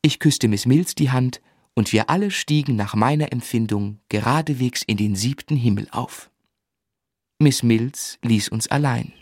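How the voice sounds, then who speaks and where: A man playing an ardent young gentleman who narrates and acts his own story in a radio drama, earnest and expressive. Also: mid-range voice, measured pace, no room sound, clean studio sound. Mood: rapturous. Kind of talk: literary first-person narration and German radio drama acting.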